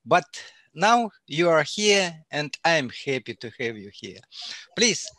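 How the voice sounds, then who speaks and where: A man hosting a casual interview, halting and searching for words. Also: a little high, very animated, pushed and bright, no room sound. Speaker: host.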